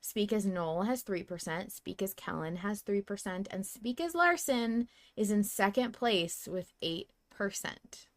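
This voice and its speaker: A woman's voice: mid-range voice, animated, a little slow, few pauses, dry recording. A woman hosting a podcast in casual conversation, talking in a chatty, playfully enthusiastic way. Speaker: podcast host.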